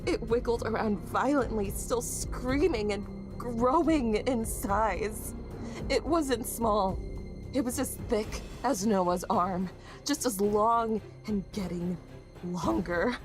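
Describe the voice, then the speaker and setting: An actress playing a frightened young woman who narrates a horror audio drama in a confessional, halting way, with music behind her. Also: fairly high pitch. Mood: tense, haunted. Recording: music bed under the voice.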